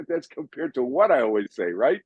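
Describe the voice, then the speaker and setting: An older man from Massachusetts hosting an audience Q&A over a video call, chatty and animated, often restarting his sentences. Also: fairly high pitch, unhurried, slightly rough, no room sound, phone-quality sound. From Massachusetts, USA. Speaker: host answering audience questions.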